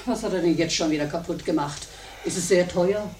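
A woman's voice: lowish voice, a little fast, a little mellow, natural voice. An actress playing a snappy, dismissive mother who defends her son in a 1950s German radio comedy. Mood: apologetic. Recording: roomy 1950s radio play recording.

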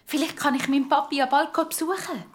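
A woman's voice: sits high, steady tone, a little fast, voice pushed up, close to an everyday voice. A woman voicing an earnest, defensive young girl with a Swiss German accent in a children's audio story. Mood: excited, confiding.

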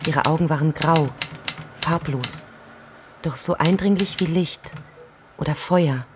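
A woman reading novel passages and diary confessions in a literary radio drama, measured and descriptive. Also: low-pitched, background noise. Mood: absorbed, descriptive.

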